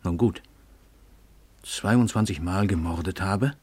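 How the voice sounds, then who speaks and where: An actor playing an imposing, self-assured world-famous crime writer in a radio play, husky, ironic and condescending. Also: male voice, deep voice, slow pace, dry recording, full character voice. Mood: probing, unruffled.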